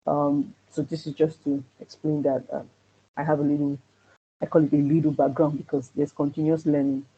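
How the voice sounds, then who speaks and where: A man who says he has a loud voice teaches a security class on a video call, hesitating often with ums and restarts. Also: on the high side, level tone, normal speed, husky. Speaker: security trainer. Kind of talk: online class presentation. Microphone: computer mic on a video call. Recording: dull lo-fi video call audio.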